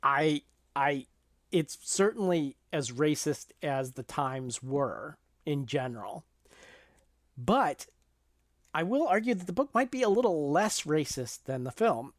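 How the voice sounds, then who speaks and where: A woman's voice: deep voice, sing-song, slow, dry recording. A woman speaks as a podcast co-host in a dry, close recording. She discusses books and films casually, explaining her points thoughtfully and with care. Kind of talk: casual book and film discussion.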